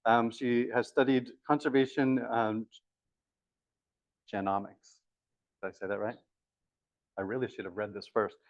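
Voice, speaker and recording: A man's voice: mid-range voice, little pitch movement, unhurried, long pauses, dry recording, highs cut off. A man hosting a lecture series who introduces a guest speaker in a friendly, self-deprecating way and stumbles over some words.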